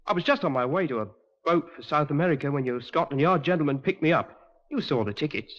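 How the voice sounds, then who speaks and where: An actor playing a desperate criminal with rough slangy working-class speech in a 1950s radio drama. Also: male voice, on the high side, lively intonation, fast talker, a bit bright. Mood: frightened, pleading. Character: desperate, violent London criminals plotting a robbery. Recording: muffled old radio recording, long reverb.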